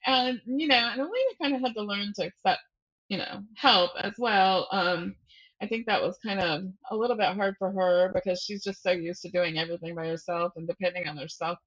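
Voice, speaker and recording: A woman's voice: medium pitch, fairly quick, fairly bright tone, muffled recording. A woman speaking as a guest novelist in a casual, informal interview, often breaking off and rephrasing as she thinks aloud.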